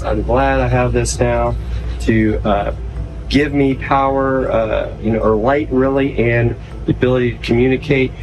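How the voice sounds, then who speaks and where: A man's voice: mid-range voice, rough recording. A man giving a casual, conversational product demonstration as a video reviewer.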